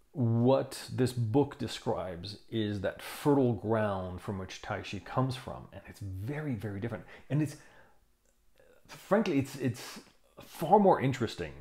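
A man in his fifties giving a casual explanation to camera, thoughtful and halting, stressing key words. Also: fairly low pitch, animated, darkish tone, a little hiss or hum. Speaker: tai chi teacher reviewing a book. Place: small room indoors. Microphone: lapel mic.

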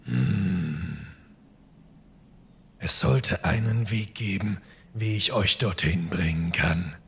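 A man voicing a mysterious goat-man of the woods in an audio horror story, gravelly and husky, cryptic and dry-witted. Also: lowish voice, a bit bright, voice pushed down, exaggerated voice. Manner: slow, cryptic, deliberate.

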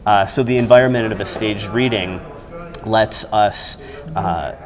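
An American man in his thirties giving an explanatory, halting answer in an informal on-camera interview. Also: fairly low pitch, crowd noise. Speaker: theater company staff member interviewed as guest. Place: large indoor lobby. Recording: clear background noise, busy lobby ambience.

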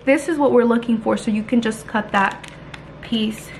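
A woman's voice: a little high, moderate pace. A young woman chatting informally to viewers as she gives home baking instructions in a casual, unpolished way. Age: young adult. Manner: informal, chatty, occasionally crude. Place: small home kitchen, indoors. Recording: clearly noisy home kitchen audio with clicks.

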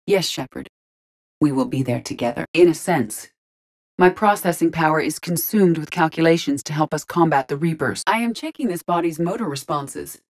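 A woman playing a calm, courteous artificial intelligence in a video game, speaking with precise, formal, measured diction and a deadpan manner. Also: deep voice. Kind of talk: scripted video game dialogue.

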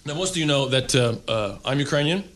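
A man's voice: medium pitch, lively intonation, a little fast, fairly bright tone. Middle-aged american guy doing standup at a table, wry rambling storyteller, lots of uhs. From United States. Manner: wry, rambling with fillers, mock-snobbish asides. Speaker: stand-up comedian. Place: seated at a table, indoor stage.

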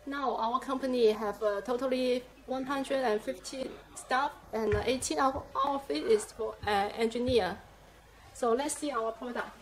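High-voiced woman giving a hesitant factory tour. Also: moderate pace. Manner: hesitant, many "uh" fillers. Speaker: company guide. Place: office and factory floor, indoors. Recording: noisy factory and office background.

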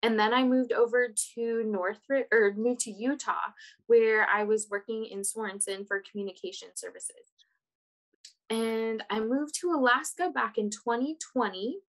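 A woman interpreter voicing a panelist's words in steady, plain first-person narration on a video call. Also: fairly high pitch, moderate pace, poor audio.

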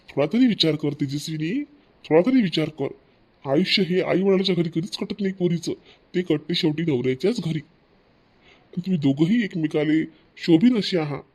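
A man's voice: high-pitched, a little mellow. A man voicing a stern elderly father-in-law in a cartoon family drama, preachy, with a Vidarbha Marathi accent. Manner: preachy, repeats questioning tags.

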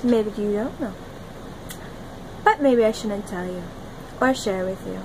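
A young woman talking to her phone camera in a small room, rambling dreamily and giggling, jumping between loud bursts and soft murmurs. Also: mid-range voice, measured pace, background noise. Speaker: vlogger. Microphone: phone camera at arm's length.

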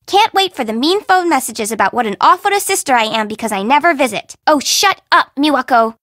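A sassy young woman from a bento shop in a video game, pitched high with big, animated swings. She is bubbly and teasing, quick to turn sarcastic, and sounds dry and close. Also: dry recording. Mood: sarcastic, annoyed. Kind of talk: video game character voice acting.

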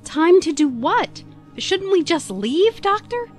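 An outspoken, caring female companion played by a woman in a sci-fi audio drama. Her high voice is very lively in pitch, with light music underneath. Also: takes it slowly, heavily acted. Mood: skeptical, concerned. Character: outspoken, concerned female companion of a time traveller.

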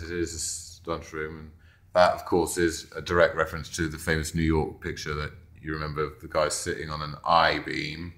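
A man's voice: rumbling low, roomy sound. A man casually narrating a walkthrough of a mural he painted, in an office lunchroom, speaking offhand into a handheld camera. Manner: relaxed, offhand, pointing things out. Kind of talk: casual narrated video tour.

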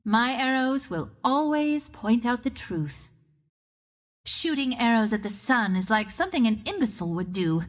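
Wry, animated young archer heroine. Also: female voice, on the high side, moderate pace. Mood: cool, resolute. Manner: self-assured, teasing, theatrical. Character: confident, wry young female archer ranger of the wind. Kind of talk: video game hero voice lines.

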